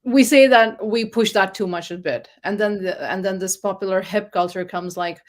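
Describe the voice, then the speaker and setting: A woman's voice: mid-range voice, moderate pace. Woman from Pakistan asking thoughtful questions. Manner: thoughtful questioning, frequent "right?". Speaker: interview host. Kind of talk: casual interview talk.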